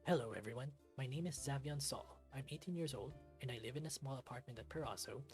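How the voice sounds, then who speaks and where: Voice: mid-range voice, quick pace, faint music behind, natural voice. A performer voicing a cheerful, confident eighteen-year-old male German shepherd student with a casual, easygoing way of talking.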